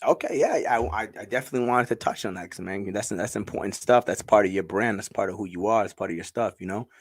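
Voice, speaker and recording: A man's voice: lowish voice, fast talker. Hyped slangy man hosting casual chat. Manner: hyped, slangy, repeats himself. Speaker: host.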